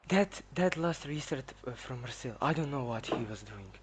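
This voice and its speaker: A man talking over voice chat after a race, quiet and halting, with a lot of filler words. A light hiss of noise sits under his voice. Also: medium pitch, animated. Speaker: race driver joining on voice chat. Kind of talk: casual voice-chat talk.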